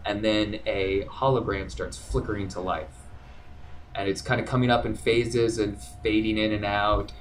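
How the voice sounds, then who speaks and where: Guy with a lowish voice running a tabletop rpg, laid back, lots of ums. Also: normal speed, slight background noise. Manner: relaxed, descriptive, with frequent fillers. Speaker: game master. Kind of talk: narrating a tabletop game.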